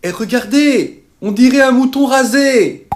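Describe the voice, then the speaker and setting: A man voicing mocking schoolgirls in a drawn-out, taunting manner for a comic life story. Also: very high-pitched, voice pushed up. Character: mocking schoolgirls, shrill teasing young children.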